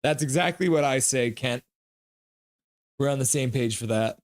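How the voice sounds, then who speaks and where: Man riffing on a hockey stream. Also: mid-range voice. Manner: reads chat aloud, jokey and loose, with quick bursts of play-by-play. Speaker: live-stream host. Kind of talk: casual chat and play-by-play commentary.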